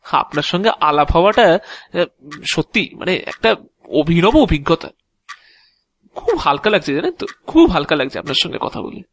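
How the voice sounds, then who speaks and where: Audio drama clerk, played by a man: excitable, talkative, sarcastically flattering, very animated intonation, dry close recording. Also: a little high, moderate pace, long pauses, no echo. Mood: chatty. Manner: animated, gushing, stammering repetitions. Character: excitable, chatty male private-office clerk, sarcastically flattering. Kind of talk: Bengali audio drama dialogue acting.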